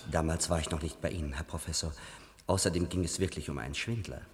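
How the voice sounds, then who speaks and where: An actor playing a reserved, deferential neurologist and assistant, subdued and polite, in a German radio play. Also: male voice, rumbling low, fast. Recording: distant in reverberant hall, radio play.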